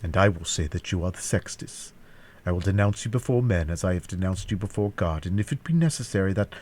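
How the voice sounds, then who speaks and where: A man's voice: sits low, expressive, fairly quick, a little mellow. Audiobook heroine in captivity voiced by a man: anguished, defiant, vehement, declamatory. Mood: accusing. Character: defiant, anguished captive young woman, proud and vengeful. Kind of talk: classic novel audiobook character voice.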